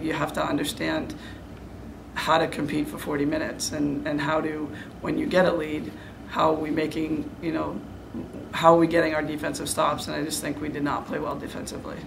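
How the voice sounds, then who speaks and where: Middle-aged female coach, postgame interview: heavy vocal fry, even delivery, frank and serious. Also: low voice, noisy background. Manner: frank, self-critical, serious. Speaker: head basketball coach. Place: indoor room before a press backdrop.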